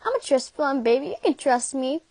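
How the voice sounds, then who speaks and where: A woman's voice: high voice, very animated, a little fast, darkish tone, no echo. A woman voicing brash, theatrical comic characters with exaggerated emphasis in an amateur fan dub. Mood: sassy.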